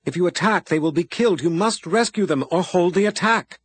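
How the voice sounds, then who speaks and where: A man reading a desperate young mother in an audiobook, her lines pitched up and pleading. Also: brisk, breathy edge, no echo. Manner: raised pleading, breaking into wails.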